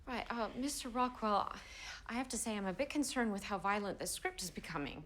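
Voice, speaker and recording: A woman's voice: mid-range voice, animated, fairly quick, fairly bright tone, slight background noise, lightly acted. An actress playing an eager, anxious young woman of the 1920s, speaking in an earnest, hesitant way. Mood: flustered. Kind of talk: television period drama acting.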